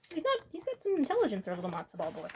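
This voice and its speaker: Lady reading a kids book out loud, really animated, higher voice, chatty asides. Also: rapid-fire, noisy background. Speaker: storytime host reading aloud. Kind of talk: expressive children's storybook reading with asides.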